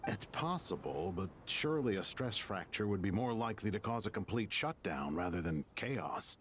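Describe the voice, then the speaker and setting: Casting: spry, competitive old academic for a game, very expressive, grumbling delivery. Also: male voice, on the low side, normal speed, slight background noise. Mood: scholarly. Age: older. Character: feisty old male scholar, competitive and spry. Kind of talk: video game voice acting.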